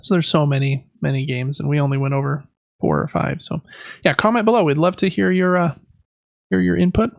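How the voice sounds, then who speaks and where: A man with a slightly higher-pitched voice and a creaky edge chats casually as a podcast host. He rambles and backtracks mid-sentence. The sound is dry and somewhat dull. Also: steady tone, brisk, no echo. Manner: rambling, self-correcting. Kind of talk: casual chat about video and board games.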